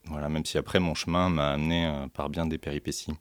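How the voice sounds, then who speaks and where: A man's voice: extremely low pitch, flat delivery, quick pace, no room sound, clean studio sound. A man from central France, a guest on a radio interview, telling his story with many fillers and restarts. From central France, Orléans area. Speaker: guest, beekeeper and deacon.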